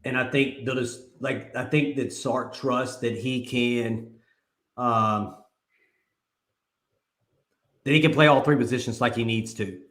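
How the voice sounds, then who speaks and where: Guy co-hosting a sports chat show, rambling and animated, mid-pitched voice, roomy echo. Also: slow, long pauses. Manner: animated, loose and rambling with filler words. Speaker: co-host. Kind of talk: casual sports talk show. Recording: echoey room.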